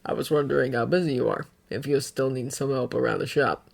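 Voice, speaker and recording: A woman voicing a remorseful adult man who speaks softly and apologetically in a storybook reading. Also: mid-range voice, a little fast, creaky, voice pushed down. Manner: soft, subdued, apologetic.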